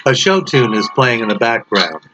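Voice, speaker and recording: A man reading his own story aloud as a dramatic narration, with dark humour and wry, deliberate phrasing. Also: mid-range voice, very animated, lo-fi sound, heavy background noise. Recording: loud music under the voice. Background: prominent background music.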